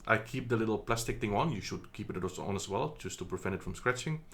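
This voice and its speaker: A man's voice: lowish voice, expressive, quick pace, creaky, fairly bright tone. A man from the Netherlands giving a casual product review, chatty and hesitant, with many ums and self-corrections, recorded on a phone indoors. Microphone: phone camera.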